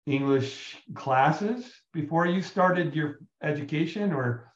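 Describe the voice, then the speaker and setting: A man hosting an interview, asking long questions that he often restarts partway through. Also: on the high side. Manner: halting, restarts his questions. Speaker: interview host. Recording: roomy, dull lo-fi sound.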